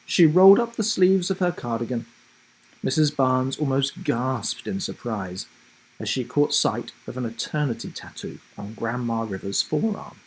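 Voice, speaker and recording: A man reading a children's story aloud in a dramatic, deliberate narrating style. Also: medium pitch, lively intonation, breathy edge, poor audio. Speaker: storybook reader, host.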